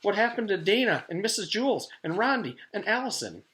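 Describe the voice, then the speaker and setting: Man voicing an anxious young schoolgirl, pitched high. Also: big pitch swings, a little fast, fairly bright tone. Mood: upset, pleading. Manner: earnest, flustered, pleading. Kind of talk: children's storybook read-aloud.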